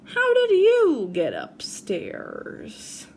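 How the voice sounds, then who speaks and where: A woman's voice: very high-pitched, wildly swooping pitch, extremely slow, a little hiss or hum, pitched above the performer's own voice, exaggerated voice. A woman voicing a male night watchman from a picture book, suspicious and questioning. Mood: puzzled. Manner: questioning, exclaiming. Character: startled, stern male night watchman in a store.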